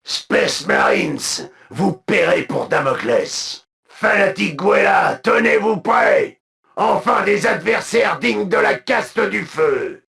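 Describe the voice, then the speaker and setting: A gravelly, imperious alien commander. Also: male voice, high-pitched, expressive, takes it slowly, a bit bright, exaggerated voice. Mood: defiant, aggressive. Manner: solemn, imperious, clipped military delivery. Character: proud, zealous alien military commander, adult male. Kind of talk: video game unit voice lines, declamatory.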